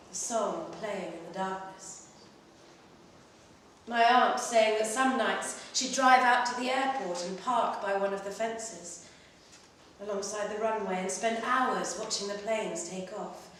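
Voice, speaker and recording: A woman performing a literary short story at a live reading, in an expressive, measured way with pauses between phrases. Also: medium pitch. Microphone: distant microphone. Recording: very echoey room, distant mic, faint noise.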